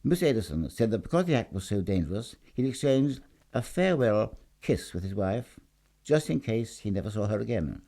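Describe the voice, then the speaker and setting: A man's voice: on the low side, very animated, a little fast, creaky, warm tone. A man reading a scripted short story aloud in a theatrical, emphatic storytelling manner. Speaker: story reader.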